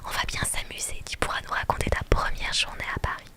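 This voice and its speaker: A woman's voice: quick pace, close to an everyday voice. A woman voicing a bubbly, friendly, chatty young woman from a story game in a whisper. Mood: playful, inviting.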